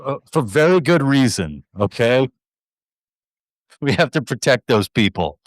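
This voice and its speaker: A man's voice: medium pitch, very animated, measured pace, no echo. A man hosting a podcast, chatting loosely and joking irreverently. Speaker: podcast host. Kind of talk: casual chat.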